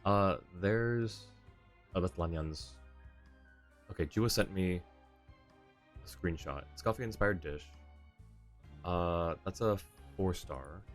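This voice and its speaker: Male streamer, low-pitched, casual and thinking aloud during live gameplay, faint game music behind. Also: slow, long pauses. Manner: thinks aloud, reading on-screen text and chat. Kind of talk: casual live gaming commentary. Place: indoor live stream setup.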